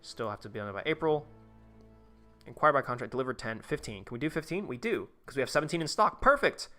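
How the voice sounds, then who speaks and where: A man's voice: medium pitch, lively intonation, quick pace, pushed and bright, faint music behind. A man live-streaming a tycoon game, chatting casually with his viewers in a rambling, profane way while he plays. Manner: rambling, profane, talks to chat while playing.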